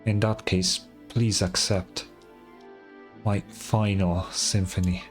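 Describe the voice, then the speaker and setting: A solemn god-king acted by a man speaks slowly and formally. He is weary yet resolute, in the regal style of fantasy game drama, over faint music. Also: deep voice, little pitch movement, pitched below the performer's own voice.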